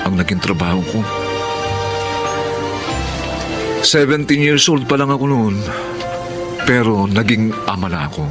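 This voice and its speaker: A man's voice: on the low side, expressive, moderate pace, over music, lightly acted. An actor playing an irresponsible, defensive young working-class father in a Filipino radio drama. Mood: regretful narrating.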